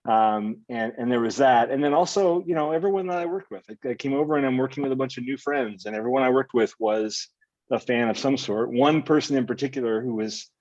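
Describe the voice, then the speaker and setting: A man from the United States talks through a story in easy, meandering podcast chat, full of fillers and restarts. The sound is dull and dry, as if from a modest mic. His pitch sits in an ordinary middle range. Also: brisk, no echo. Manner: relaxed, rambling storytelling with many fillers. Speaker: podcast co-host. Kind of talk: casual chat.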